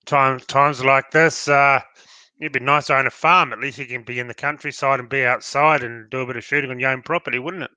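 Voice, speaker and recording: A man's voice: medium pitch, fairly even intonation, fairly quick, bright, forceful tone, no echo. An Australian man co-hosting a casual live stream. He sounds tired and blocked up from hay fever, and chats in a friendly, welcoming way. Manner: chatty and welcoming, says sorry for sounding tired.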